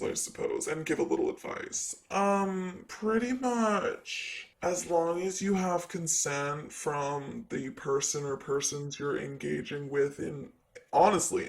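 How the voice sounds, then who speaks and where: A jaded demon desk clerk of hell as a man acts him out in an ASMR roleplay. His male voice sits a little high and moves at a leisurely pace. He rambles breezily, full of sarcasm and sass. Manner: sarcastic, breezy, rambling with casual filler words. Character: sassy demon secretary of hell, jaded, chatty.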